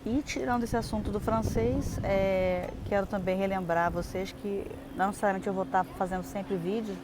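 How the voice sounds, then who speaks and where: A woman's voice: mid-range voice, fairly even intonation, moderate pace. A young adult Brazilian woman giving chatty, explanatory advice in a casual vlog, with lots of fillers and restarts. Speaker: vlogger. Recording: noisy outdoor recording.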